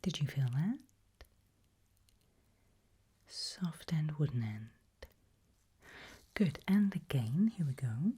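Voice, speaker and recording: A woman whispers very breathily and unhurriedly, as if conducting a medical exam for ASMR. Her tone is low and soft, polite and calmly unsettling, with slow, careful instructions. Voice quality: breathy, mostly unvoiced whisper. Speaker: ASMR performer playing a medical examiner.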